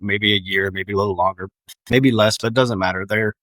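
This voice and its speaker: A man's voice: on the low side, fairly even intonation, brisk, fairly bright tone, no room sound, rough recording. A man speaking as an instructor in a casual interview, repeating phrases for emphasis. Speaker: air traffic control instructor.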